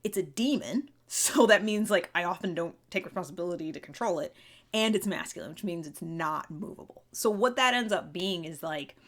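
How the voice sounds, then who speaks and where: A woman's voice: fairly low pitch, expressive, moderate pace. A woman hosting a podcast, talking through personality theory in a casual, chatty way full of fillers and restarts. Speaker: podcast host. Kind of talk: casual explanatory monologue.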